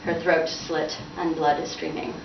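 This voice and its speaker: A woman's voice: sits low, flat delivery, measured pace, far from the mic. Woman reading aloud in an echoey room. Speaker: author reading from their novel. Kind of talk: expressive live book reading, lightly dramatized. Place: indoors in a bookstore. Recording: muffled, echoey, noisy room from afar.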